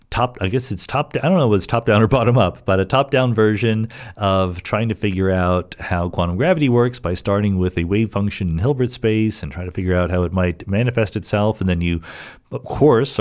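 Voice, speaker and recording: A man's voice: on the low side, a little mellow. A middle-aged man hosting a podcast, answering listener questions in a conversational way with frequent fillers and restarts. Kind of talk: solo Q&A podcast, thinking aloud.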